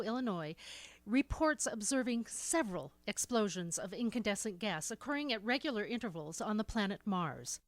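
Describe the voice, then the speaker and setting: A woman playing a news bulletin announcer in a 1930s-style radio drama, formal and measured. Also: fairly high pitch, fairly bright tone, dry recording. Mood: formal, serious.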